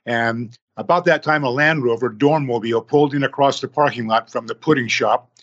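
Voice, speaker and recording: A man in his sixties, a podcast guest who tells his story slowly, in numbered steps. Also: mid-range voice, fairly bright tone, dull audio.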